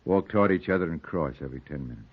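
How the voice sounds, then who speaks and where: An actor playing a commanding police sergeant, clipped and authoritative, in a 1940s radio drama. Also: male voice, sits low, lively intonation, racing, husky. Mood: businesslike. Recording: muffled vintage radio recording.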